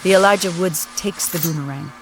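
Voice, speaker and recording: Pompous narrator, formal mock epic storytelling, wordy, clear music underneath. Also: medium pitch, a little fast, rough recording. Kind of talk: mock-serious dramatic scripted-style narration. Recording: prominent music behind voice.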